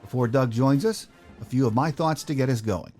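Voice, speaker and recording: A man's voice: mid-range voice, a little fast, mellow, faint music behind. An American man hosting a sports podcast, delivering scripted introductions and interview questions.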